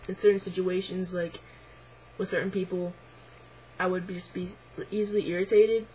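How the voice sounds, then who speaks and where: A young man speaks into his camera at home, voice in the middle of deepening and not quite settled, pitched in the middle and fairly flat. He is hesitant and reflective, filling pauses with ums and likes. Faint noise hums behind him. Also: low-quality recording. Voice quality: voice midway through deepening, a bit unsettled. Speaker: vlogger. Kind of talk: casual personal video diary.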